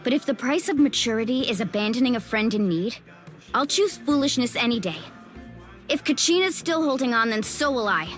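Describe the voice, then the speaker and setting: A woman's voice: fairly high pitch, lively intonation, a little fast, faint music behind, close to an everyday voice. A woman voicing an earnest, resolute young warrior in a video game, fiercely loyal to friends. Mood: determined.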